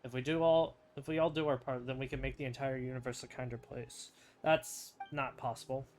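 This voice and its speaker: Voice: medium pitch, steady tone, a little fast, a little mellow, slight background noise. A game streamer giving casual, wry commentary and reading dialogue aloud in an informal, offhand way. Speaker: game streamer reacting to a story quest.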